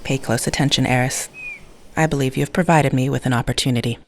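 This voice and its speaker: A woman acts out a careful, motherly mentor with a very low female voice. She speaks formally, in measured and precise phrases. The audio drama has faint ambient noise behind her. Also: fairly even intonation. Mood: careful, calculating.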